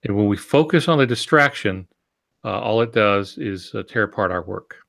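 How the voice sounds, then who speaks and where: Man, deep-ish creaky voice, podcast co-host, matter-of-fact, conversational advice. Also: animated, normal speed, fairly bright tone.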